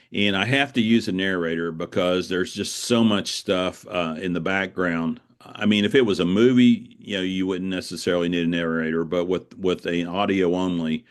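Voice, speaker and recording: A middle-aged man speaking casually as an interview guest, explaining things in a halting way and repeating words. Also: low voice. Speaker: guest, author.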